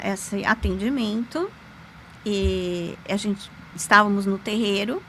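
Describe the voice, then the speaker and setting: A woman, a podcast guest, telling a personal story in an animated, emphatic way with sudden pitch jumps. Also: gravelly, slight background noise, low-quality recording. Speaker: podcast guest, lawyer and magic teacher. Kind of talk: conversational storytelling.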